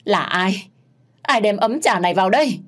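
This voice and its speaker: A woman's voice: mid-range voice, lively intonation, normal speed, a bit bright, voice pushed up. A woman voicing a grieving middle-aged mother who wails and hurls accusations in a storybook reading. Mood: wailing rage. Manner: wailing, shrieking accusations.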